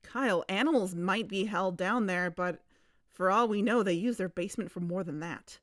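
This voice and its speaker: A woman's voice: medium pitch, moderate pace, no echo, clean studio sound, natural voice. An actor playing a wary, probing, level-headed woman investigator in an audio drama podcast.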